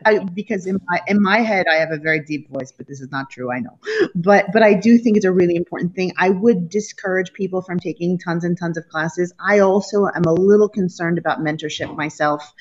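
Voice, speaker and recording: A woman in her forties, a company chief speaking as a guest on a video call panel, frank and animated, a little self-mocking. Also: fairly low pitch. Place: home room with wooden shutters. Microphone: laptop webcam on video call. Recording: dull lo-fi call audio.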